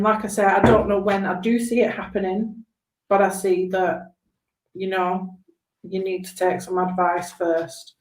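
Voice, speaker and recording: A woman hosts a live tarot reading in an echoing room. She speaks slowly at a middling pitch, in a halting, reassuring way as she hands out advice. Her voice is a little hoarse from long talking. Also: a little mellow. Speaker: tarot reader hosting a live stream. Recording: roomy, echoing room sound.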